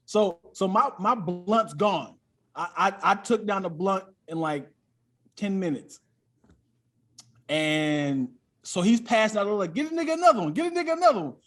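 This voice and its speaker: A man's voice: high-pitched, normal speed. A man who is a comedian guesting on a podcast, talking in a casual comic storytelling style and acting out dialogue. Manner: animated, acts out quoted dialogue.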